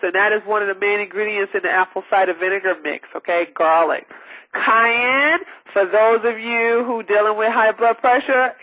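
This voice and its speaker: A man's voice: sits high, pushed and bright, low-quality recording. A man hosting a call-in radio health advice show, talking in a rambling, emphatic way with lots of fillers and restarts. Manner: rambling, repetitive, with many fillers; emphatic and preachy. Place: broadcasting over a phone-based line.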